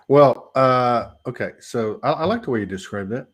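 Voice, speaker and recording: A man's voice: medium pitch, expressive, unhurried, a bit bright. A man hosting a spiritual conversation, musing aloud in a rambling way with many repeats. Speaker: host.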